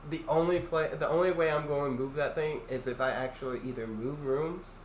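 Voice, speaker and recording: A young man chats casually as a podcast host, his loud, deep voice carrying as he rambles through fillers and restarts. His pitch sits a little above most men's. The room is echoey, with a faint hiss of background noise. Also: fairly even intonation, fairly quick. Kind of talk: casual chat.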